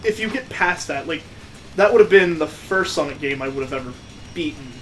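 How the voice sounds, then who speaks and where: A man's voice: a little high, animated, fairly quick, a bit bright, poor audio. A man chatting casually and sarcastically as he plays and comments on a video game. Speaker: gaming video co-host playing the game. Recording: game music behind the voice. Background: video game music.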